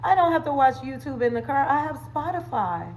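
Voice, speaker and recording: A woman's voice: high-pitched, sing-song, brisk, noisy background. A woman hosting a casual livestream, chatting playfully with viewers in slangy, conversational phrases. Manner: playful, chatty, with slang catchphrases and frequent laughter. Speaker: livestream host and wellness coach.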